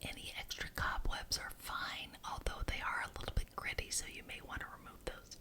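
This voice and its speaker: A woman performing an ASMR roleplay as a genteel, officious witch, speaking in a breathy whisper and deliberately repeating words for emphasis. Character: genteel, officious witch chairing a neighborhood meeting.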